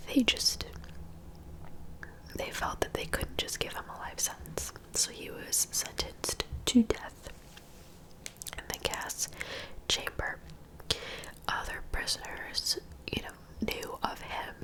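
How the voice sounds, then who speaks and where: A woman telling true crime stories in a soft, breathy ASMR whisper, in a gentle, informal, conversational way. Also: on the high side, low-quality recording.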